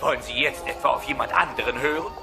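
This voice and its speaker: A man's voice: medium pitch, fast, creaky, over music, close to an everyday voice. A man playing a smarmy dinosaur company spokesman in a parody TV commercial, falsely caring and insinuating. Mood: smarmy, persuasive.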